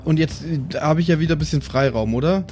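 A man's voice: on the high side, quick pace, a little mellow. A man casually chatting and joking as a player in a tabletop role-playing game. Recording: music playing behind the voice. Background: background music.

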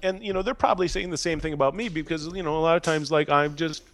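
A man's voice: on the high side, fast talker, a bit bright, no echo. An American man from Minnesota talking casually as an interview guest, rambling and often saying "you know". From Minnesota, United States. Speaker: podcast guest.

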